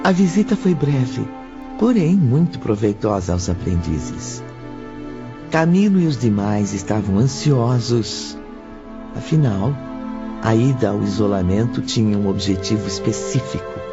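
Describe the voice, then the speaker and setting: A male narrator of an audio drama with a solemn, storytelling delivery. He reads very slowly at an ordinary male pitch. The recording is a little dull, with strong music underneath. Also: expressive. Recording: slightly muffled, music underneath.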